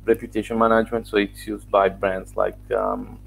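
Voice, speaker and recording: Gravelly-voiced man explaining. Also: fairly low pitch, sing-song, moderate pace, slight background noise, low-quality recording. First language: Italian. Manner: explanatory, walks through examples step by step. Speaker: guest, software founder. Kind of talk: casual interview answers.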